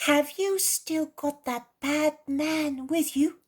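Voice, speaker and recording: Lady doing a tiny high-pitched storybook little boy, slow and earnest, stubbornly honest. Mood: insistent. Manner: slow, earnest, emphatic childish delivery.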